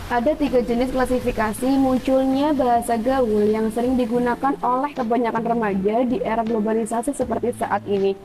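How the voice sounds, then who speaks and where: A young Javanese woman presents her class material with a steady, recited delivery. Her voice sits a little high. Light music plays quietly beneath her. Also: brisk, rough recording. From Purbalingga, Central Java. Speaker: university student presenter. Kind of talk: reading a prepared class presentation.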